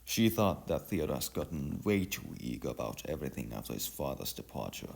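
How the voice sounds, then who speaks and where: A low-voiced man reads a story with dramatic, widely swinging intonation. His delivery halts and stumbles at times, a creaky edge runs through it, and music plays behind him. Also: sing-song, slight background noise. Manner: halting delivery with stumbles and re-read phrases. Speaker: narrator. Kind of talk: dramatic scripted story narration.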